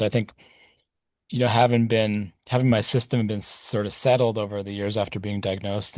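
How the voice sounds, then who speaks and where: Low-voiced man, reflective and rambling. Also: darkish tone, dry recording. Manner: reflective, rambling with many fillers. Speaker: podcast guest, punk musician. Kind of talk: casual interview talk.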